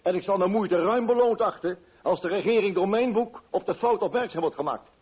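An actor playing a pompous, self-righteous coffee broker in a radio play, fussy and indignant in manner. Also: male voice, high voice, fairly quick, slightly rough. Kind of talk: Dutch radio play acting. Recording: muffled old radio recording.

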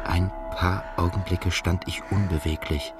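An actor playing a melancholy journalist who narrates his own story in a measured, introspective way in a radio drama. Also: low voice, steady tone. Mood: tense, numb. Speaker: narrator and protagonist. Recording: music under the voice. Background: music.

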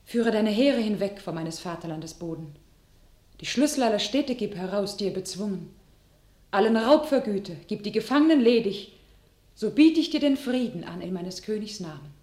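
A woman's voice: mid-range voice, lively intonation, moderate pace, warm tone, roomy sound, natural voice. A woman playing a defiant, devout young captive warrior maiden in a classical radio drama, speaking in solemn declamation. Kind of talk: classical German stage-style radio drama.

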